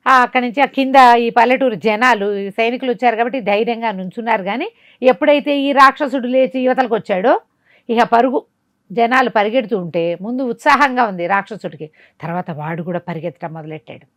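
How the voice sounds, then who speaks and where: A woman telling a folk moral tale in a conversational, dramatic way, pausing for asides and rhetorical questions. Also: fairly high pitch, fairly bright tone, no echo. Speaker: storyteller. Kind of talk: animated oral storytelling of a folk moral tale.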